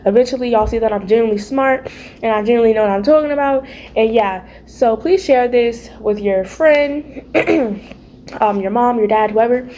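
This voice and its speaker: A teenage girl with a raspy, mellow voice, talking loosely and playfully about her faith and calling her listeners baby and y'all. There is a faint hum of room noise behind her. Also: fairly high pitch, moderate pace, lo-fi sound. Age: teens. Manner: chatty and playful, calls viewers y'all and baby. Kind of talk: casual faith vlog chat. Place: home, early morning.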